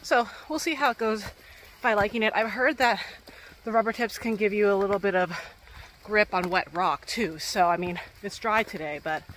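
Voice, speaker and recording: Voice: mid-range voice, moderate pace, slight background noise, low-quality recording. Young hiker vlogging on a forest trail. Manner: relaxed, thinking aloud with many fillers. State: a little out of breath while hiking. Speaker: hiking vlogger. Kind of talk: casual hiking vlog, talking to camera. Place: wooded mountain trail outdoors. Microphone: phone held at arm's length.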